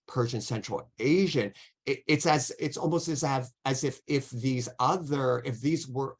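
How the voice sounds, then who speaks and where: A man's voice: mid-range voice, husky. Hedging male lecturer on a video call. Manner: careful, hedging, many restarts. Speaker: guest lecturer. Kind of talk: online academic Q&A.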